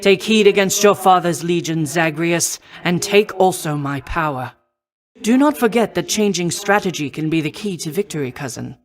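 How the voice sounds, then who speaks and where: A woman playing a noble, protective war goddess in a video game, speaking in formal, measured, old-fashioned phrasing. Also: lowish voice, animated, lightly acted. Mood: composed, encouraging. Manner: formal, measured, archaic stately diction. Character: noble female war goddess, poised, protective, confident mentor. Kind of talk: scripted video game voice acting.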